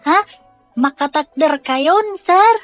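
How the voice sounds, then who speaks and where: Woman as a slow, high-voiced innocent Ilocano child. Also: animated, no room sound, faint music behind. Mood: curious, earnest. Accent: Filipino, Ilocano. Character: sweet, innocent young child of the therapist. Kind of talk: Ilocano radio drama acting.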